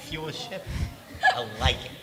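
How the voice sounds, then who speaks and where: A man's voice: a little high, very animated, measured pace. A man joking around and wisecracking as a panelist at a live Q&A. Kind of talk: joking casual banter. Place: large indoor convention hall. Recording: echoey, distant, very noisy hall.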